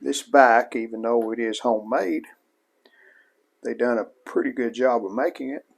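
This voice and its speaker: A man's voice: mid-range voice, moderate pace, creaky, husky. A man casually narrating his own hands-on restoration work as a hobbyist host, in a plain-spoken, show-and-tell way.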